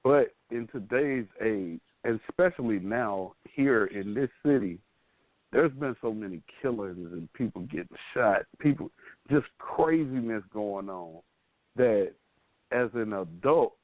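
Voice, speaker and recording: A man's voice: on the low side, expressive, unhurried, dry recording. A man in his forties chatting casually as a panelist on a talk show. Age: 40s. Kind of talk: casual chat. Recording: tinny, phone-like band-limited audio.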